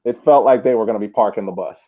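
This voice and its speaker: A man's voice: fairly low pitch, racing. Man on a tinny, phone-like connection. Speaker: podcast co-host. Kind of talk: casual sports podcast chat. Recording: tinny phone-like internet call.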